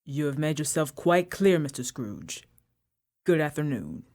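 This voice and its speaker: Male actor as a mannerly gentleman collecting for the poor; radio play. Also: a little high, very animated, measured pace. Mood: earnest. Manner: courteous, earnest. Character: polite male charity collector. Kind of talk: student radio drama acting.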